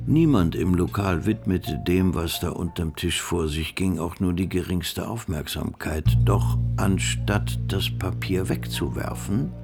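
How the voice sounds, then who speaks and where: A man's voice: sits low, unhurried, vocal fry, hardly any pauses. A man narrating a radio drama from a script. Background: music.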